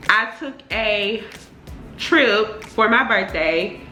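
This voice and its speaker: A woman's voice: mid-range voice, lively intonation, very slow, bright, forceful tone, reverberant, faint music behind, low-quality recording. A young woman chatting casually to her viewers in informal slang, sounding congested from a cold. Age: young adult. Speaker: beauty vlogger. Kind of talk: casual chatty get-ready-with-me talk.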